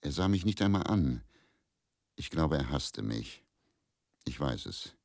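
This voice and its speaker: An actor playing a haunted, resigned man who recounts his story in a measured, deliberate dramatic monologue with pauses. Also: rumbling low, very animated, darkish tone, dry recording, clean studio sound, full character voice. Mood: brooding, resigned. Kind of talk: slow first-person dramatic monologue.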